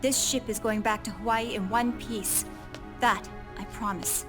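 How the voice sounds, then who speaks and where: Woman playing a resolute ship commander over loud music. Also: a little high, fairly even intonation, measured pace, close to an everyday voice. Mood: resolute, earnest. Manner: earnest, determined, speech-making. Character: resolute female ship commander rallying her crew. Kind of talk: dramatic video game voice acting.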